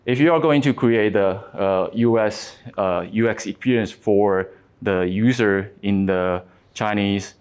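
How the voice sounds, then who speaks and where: A man in his thirties speaks English with a Taiwanese accent, his first language being Mandarin. His voice sits fairly low. He presents at a conference in a halting way, full of fillers and restarts. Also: a little mellow, clean tone.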